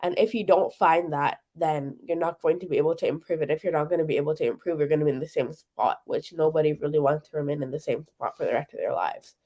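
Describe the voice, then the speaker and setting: A man's voice: a little high, fast talker, hardly any pauses, warm tone. A man co-hosting a talk show, thoughtful and a little hesitant as he speaks. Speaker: co-host. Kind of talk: casual talk show chat.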